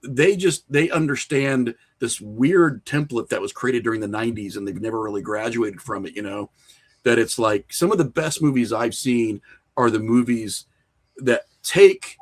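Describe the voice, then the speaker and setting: A man's voice: medium pitch, moderate pace. A middle-aged man talking casually as an interview guest, opinionated and rambling as he works through his thoughts. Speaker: podcast guest, horror filmmaker and writer.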